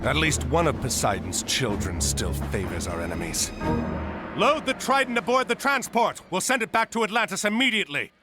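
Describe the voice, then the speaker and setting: An actor playing a resolute admiral and warrior hero in a video game, commanding and earnest. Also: male voice, a little high, animated, moderate pace, loud music behind the voice, natural voice. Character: bold, resolute Atlantean admiral, heroic male warrior. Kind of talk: video game cutscene acting.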